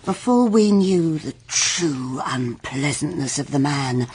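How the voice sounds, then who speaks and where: Scheming older matriarch for period radio drama: deep, breathy, unhurried, firm and deliberate. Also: female voice, lively intonation, a little mellow, heavily acted. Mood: firm, indignant. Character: scheming, commanding older wife, coolly persuasive.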